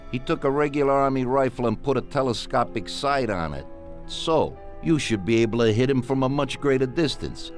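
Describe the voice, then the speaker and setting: A ruthless mob boss voiced by a man from a video game, with a middle-register voice. He speaks deliberately and with authority, like business is being settled. Faint music plays behind him. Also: mid-range voice, lightly acted. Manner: authoritative, deliberate, giving orders. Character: ruthless, calculating Mafia boss, commanding and businesslike. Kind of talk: scripted video game dialogue.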